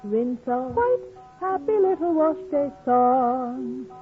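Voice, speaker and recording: Very high woman singing a radio jingle. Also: animated, slow. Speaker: jingle singer. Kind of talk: sung 1940s radio jingle. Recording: muffled vintage radio, music behind.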